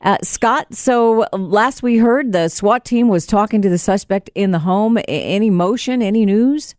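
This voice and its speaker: A woman's voice: mid-range voice, a little slow, a little mellow, no echo, clean studio sound. An American woman anchoring live radio news, hosting and handing over to a reporter in an unscripted broadcast style.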